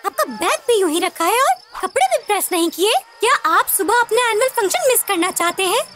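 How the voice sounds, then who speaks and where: A woman playing a caring, gently scolding mother of young children in a cartoon. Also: very high-pitched, expressive, fairly quick, soft background music. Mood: concerned, chiding.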